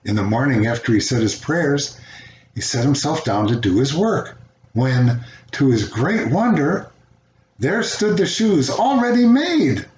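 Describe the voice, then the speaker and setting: An older man reading a children's fairy tale aloud as a storyteller, with an expressive delivery. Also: mid-range voice, unhurried. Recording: roomy, dull home recording, faint noise.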